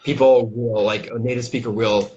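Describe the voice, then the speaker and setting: A young adult man from Brazil with a Brazilian accent, an English teacher talking casually over a video call, halting with lots of fillers and restarts. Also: medium pitch, steady tone, darkish tone, dull audio. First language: Portuguese. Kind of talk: casual interview chat.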